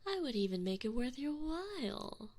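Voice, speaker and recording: A woman's voice: sits high, very animated, moderate pace, darkish tone. A woman playing a sadistic, possessive female alien enforcer in an ASMR roleplay, close on the microphone, taunting with theatrical, sweet menace. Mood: seductive, domineering. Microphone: close binaural microphone.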